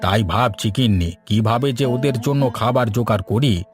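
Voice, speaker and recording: A man's voice: medium pitch, normal speed, soft background music, lightly acted. A man voicing an elderly, hard-up but hospitable village father-in-law in a Bengali cartoon story. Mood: worried.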